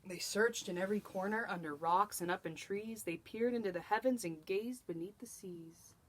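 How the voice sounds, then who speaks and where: A woman's voice: medium pitch, normal speed, low-quality recording. A woman teacher reading a rhyming children's storybook aloud, rhythmically and with dramatic emphasis. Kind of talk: expressive children's storybook narration.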